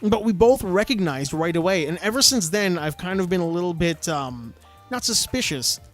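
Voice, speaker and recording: A man with a notably high voice tells an animated story on a podcast. His talk is loose and casual, full of fillers and restarts. Faint music sits under the voice. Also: moderate pace. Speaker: podcast co-host.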